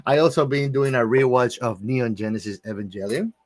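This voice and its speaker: A male podcast co-host talks in a loose, informal way. His pitch sits in the middle and he leans emphatically on his points. The sound is close and dry. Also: fairly quick, a little mellow, no room sound. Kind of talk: casual chat about anime and games.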